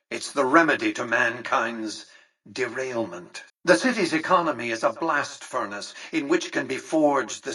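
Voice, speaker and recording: A man's voice: mid-range voice, pushed and bright, slightly rough, distant mic, heavily acted. An actor playing an imperious, calculating older male tycoon in a video game, speaking in a formal, precise and patronizing way. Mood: grandly visionary. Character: imperious, calculating elderly male tycoon, coolly visionary mastermind. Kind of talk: scripted video game character dialogue.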